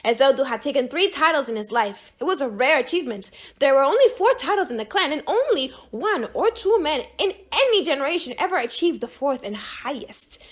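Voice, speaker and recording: A woman narrating an audiobook in an expressive, dramatic storytelling style. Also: high-pitched, brisk, few pauses.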